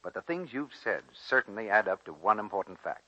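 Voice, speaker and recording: Old time american radio announcer guy, lowish punchy voice, bit husky, muffled 1940s sound. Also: lively intonation, a little fast, bright, forceful tone. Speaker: radio announcer and soap pitchman.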